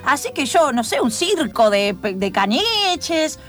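A woman's voice: high voice, sing-song, takes it slowly, fairly bright tone, soft background music, full character voice. A woman with an Argentine accent playing a showy, self-congratulating TV problem-solving host in improvised radio comedy. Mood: explaining. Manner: theatrical, showy, asks for applause.

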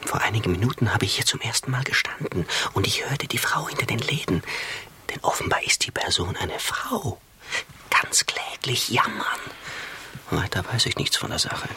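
An actor in a radio drama playing an eccentric, feverishly earnest gentleman detective, theatrical and deliberate in delivery. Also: mid-range voice, animated, whispered, close to an everyday voice. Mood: hushed, urgent.

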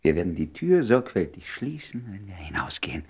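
Earnest romantic lead for German radio play, very low register, heavily husky, soft and intimate delivery. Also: male voice, very animated, fairly quick, full character voice. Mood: gentle, intimate. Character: tender, earnest man in love, reuniting with old sweetheart. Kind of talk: German radio drama acting.

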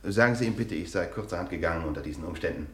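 A courteous, ill-at-ease male visitor in a radio drama. His voice is low, and his is hesitant and apologetic. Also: very fast, fairly bright tone, slight background noise. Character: awkward, overly polite male visitor excusing himself. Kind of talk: German radio drama acting.

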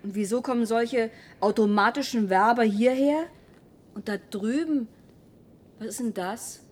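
An actress playing a resolute stranded space traveller in a radio play, urging others on and asking questions. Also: female voice, a little slow. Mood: curious. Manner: urging, inquisitive. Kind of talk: German radio play acting. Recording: faint background noise and rumble.

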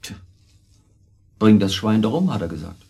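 Husky, low-voiced weak husband. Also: male voice, very animated, normal speed, warm tone, full character voice. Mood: defensive, confessing. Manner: hesitant, rambling, self-justifying. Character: weak, confessing middle-aged husband, accomplice to murder. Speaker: radio drama actor. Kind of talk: naturalistic German radio drama acting.

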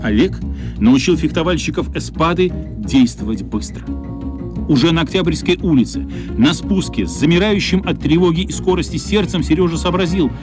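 A man narrating a radio play in an expressive, measured literary storytelling style. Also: on the high side, music in the background.

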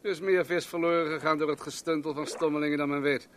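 A man's voice: on the high side, little pitch movement, quick pace, fairly bright tone, natural voice. Actor as a pompous rich businessman, slightly noisy recording. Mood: smug, commanding. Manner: pompous, leisurely lecturing. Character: self-assured rich businessman, a worldly bon vivant. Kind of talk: radio play acting.

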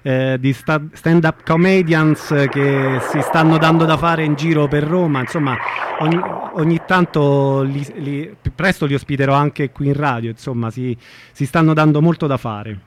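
Male radio host, fairly high voice, informal and chatty, faint noise on the feed. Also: steady tone, moderate pace. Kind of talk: casual chat.

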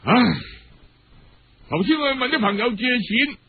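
A man's voice: extremely high pitch, lively intonation, moderate pace, slight background noise, pitched above the performer's own voice. A man playing a penniless, lovesick young man with a Cantonese accent, plaintive and faltering, in a told story. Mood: dejected, aggrieved. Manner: plaintive, sighing, falters over words. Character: hard-up, lovesick young man, sighing and aggrieved. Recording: dull lo-fi radio-style recording.

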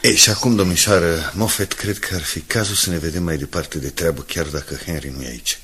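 An actor playing a loyal, kindly newspaper man in a radio drama, speaking in a measured, courteous and emotive manner. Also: low-pitched, a bit bright, breathy edge, heavily acted. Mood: sorrowful, composed. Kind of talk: 1980s Romanian radio drama acting.

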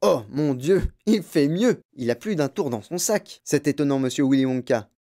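A narrator voicing a grandfather in his nineties, an excitable and fond storyteller full of emphatic exclamations, in a storybook. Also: medium pitch, moderate pace, natural voice. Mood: marvelling, boastful. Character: excitable, awestruck very old grandfather, vivid storyteller.

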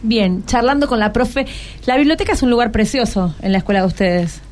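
A woman's voice: on the high side, a little fast, a little mellow, a little hiss or hum. A woman with a Buenos Aires accent hosting a conversational radio interview, prompting with short follow-up questions. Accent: Argentine, Buenos Aires. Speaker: radio host interviewing schoolchildren.

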